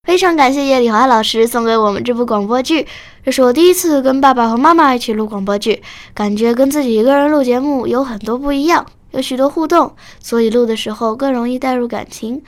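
A woman's voice: on the high side, quick pace. A female children's story podcaster giving a thank-you speech.

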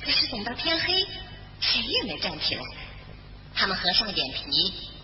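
A woman storyteller narrating a scripted children's bedtime tale in an expressive style. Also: mid-range voice, normal speed. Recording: dull roomy recording with music behind.

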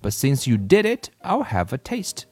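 A man voicing an earnest young boy, an older brother, in a raised, boyish pitch. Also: huge pitch swings, moderate pace, darkish tone, husky, soft background music. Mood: eager.

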